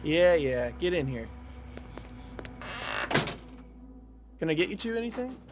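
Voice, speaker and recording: An actor playing an earnest young man in a conversational audio drama, explaining himself in a measured way. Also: fairly high pitch, big pitch swings, background noise. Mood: casual, welcoming. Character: earnest young man pleading for patience and trust.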